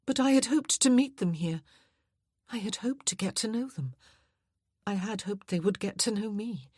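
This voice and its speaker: A woman voicing a humble, earnest young woman teacher who speaks softly and politely. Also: mid-range voice, expressive, moderate pace, breathy edge, no echo, clean studio sound, pitched above the performer's own voice. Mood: wistful.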